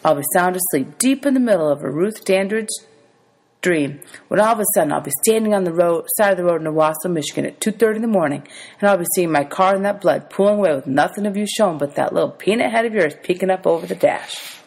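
A woman voicing a kindly, teasing man in a storybook, animated and wordy, given to exaggeration. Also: lowish voice, quick pace, husky, pitched above the performer's own voice. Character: grown man, teasing, exasperated, kindly motorist.

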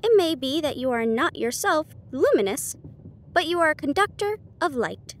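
A woman voicing a sharp, confident male detective with a precise, formal, condescending manner in an audiobook. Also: high voice, lively intonation, moderate pace. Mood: approving.

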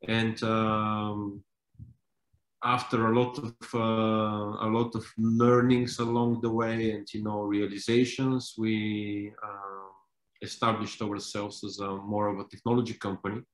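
Man in his thirties, from Bulgaria, low voice, halting with fillers, video call, echoey room. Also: little pitch movement. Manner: hesitant, many fillers and restarts. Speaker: company founder and CEO. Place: home room indoors.

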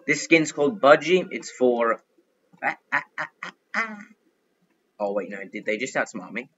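Young guy chatting thru his game, bright voice, kinda dull lo-fi mic. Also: medium pitch, normal speed, slight background noise. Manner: excitable, chatty, thinks aloud. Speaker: gaming youtuber. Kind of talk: casual gameplay commentary.

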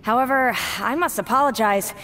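A woman's voice: on the high side, fairly quick, bright, forceful tone. A woman playing an exuberant, theatrical giant flower who guards a music hall in a video game. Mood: apologetic. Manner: theatrical, effusive. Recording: long reverberant hall effect, faint noise.

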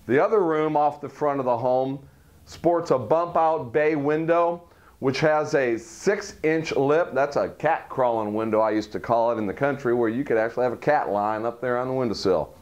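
A man's voice: mid-range voice, moderate pace, a little mellow. A middle-aged man leading a walkthrough tour of a model home, listing its features in a salesy way. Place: furnished model home, indoors.

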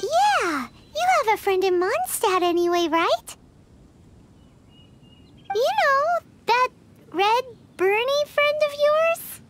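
A woman's voice: very high voice, sing-song, slow, long pauses, background noise, heavily acted. A woman voicing a tiny, chirpy floating fairy girl in an anime-style game, childlike and eager.

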